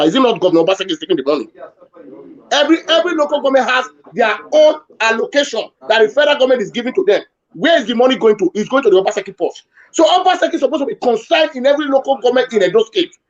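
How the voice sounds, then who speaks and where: A Nigerian man making his case forcefully in a heated live town hall debate, repeating his points. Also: very high-pitched, dull audio. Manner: emphatic, argumentative, repeats points.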